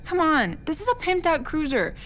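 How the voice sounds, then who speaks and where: A woman's voice: high voice, sing-song, moderate pace, fairly bright tone, a little hiss or hum. An actress playing a breezy, teasing young female student in an audio drama, casual in manner. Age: young adult.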